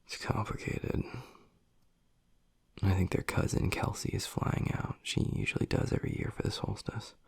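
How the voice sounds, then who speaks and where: A man playing a gruff young werewolf pack alpha and protective, secretly tender boyfriend, murmuring bluntly in a husky voice in an intimate audio roleplay. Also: moderate pace, dry recording, natural voice. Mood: dry, matter-of-fact. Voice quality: husky, gravelly, breathy low voice.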